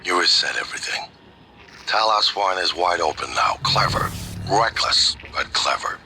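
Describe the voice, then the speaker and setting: An actor playing a composed, world-weary middle-aged man of authority in a game, speaking in a measured, dry, deliberate way. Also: low voice, pushed and bright, a little hiss or hum. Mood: resigned, measured. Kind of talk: dramatic game dialogue.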